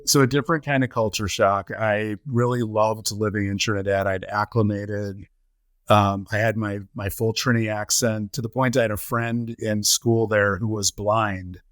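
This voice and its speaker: A middle-aged man with a fairly low voice speaks in a measured, reflective way, pausing often on ums and uhs as he answers questions. The sound is close and dry, with no room around it. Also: steady tone, no echo. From United States. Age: 40s to 50s. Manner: measured, many filler "uh"s and "um"s. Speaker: podcast guest, nonprofit CEO.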